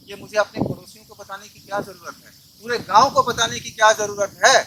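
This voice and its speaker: A man's voice: sits high, noisy background. A man delivering an emphatic, exhorting awareness monologue as an activist, full of rhetorical questions and repetition. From Bihar, India. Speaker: RTI activist, video presenter.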